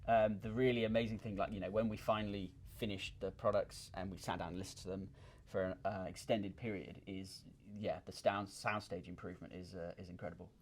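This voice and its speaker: Low-voiced man explaining technical details haltingly. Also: flat delivery. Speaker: loudspeaker designer and company representative. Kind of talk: informal technical explanation in an interview.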